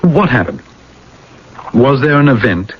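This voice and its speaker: An actor playing an analytical, fatherly psychiatrist who questions insistently and deliberately, in 1980s radio drama style. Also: medium pitch, very animated. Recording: dull, hissy old radio broadcast recording.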